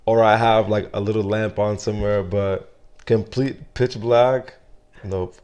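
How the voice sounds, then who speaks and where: A young man from Nigeria, a guest in a casual interview, talking in a soft, reflective way with many fillers and restarts. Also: fairly low pitch, level tone, a little slow, clear, resonant tone.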